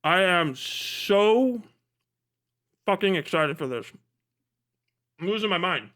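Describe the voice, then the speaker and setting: A man livestreaming in casual chat, mocking and animated, swearing freely as he rants. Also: high-pitched, slow. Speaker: live streamer.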